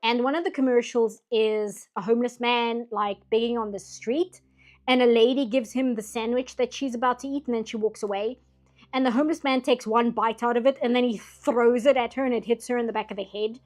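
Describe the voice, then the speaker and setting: A woman from Britain chatting casually while drawing on a stream, rambling and laughing often, her voice getting a bit hoarse late at night. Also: on the high side, steady tone, brisk, a little mellow.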